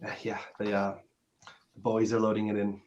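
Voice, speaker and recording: A man's voice: on the low side, monotone, normal speed, darkish tone, slight background noise, close to an everyday voice. A man acting an earnest, awkward, conventional boyfriend with a hesitant delivery, in a dramatic script reading. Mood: hurt, restrained. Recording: dull, lo-fi sound.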